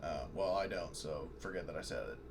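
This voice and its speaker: Lowish voiced guy rambling at a game table, faint room hum. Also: a little fast. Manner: rambling, restarts and backtracks. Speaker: player. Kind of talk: casual game chat.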